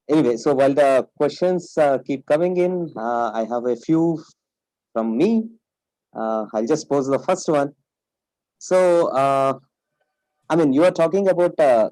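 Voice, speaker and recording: A man, a faculty member, moderating a Q&A and asking questions haltingly with many fillers. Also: medium pitch, dark tone, no echo, low-quality recording. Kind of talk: hesitant Q&A questioning.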